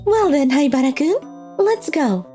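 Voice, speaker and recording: A woman plays a sweet and smiley lady teacher from a manga cartoon. Her voice is very high and lilting, polite and cutesy, with faint music behind. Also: sing-song, measured pace, warm tone. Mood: sugary sweet. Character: sweet, smiley young female schoolteacher, admired as goddess. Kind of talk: animated manga story acting.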